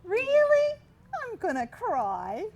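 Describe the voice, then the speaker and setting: An actress playing a reassuring, take-charge older hand at childbirth in a stage play. She coaches plainly, with a high voice that moves freely up and down. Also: huge pitch swings, takes it slowly, a little mellow, muffled recording, a little hiss or hum, full character voice. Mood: tender, moved. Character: reassuring, take-charge mother of six delivering a baby.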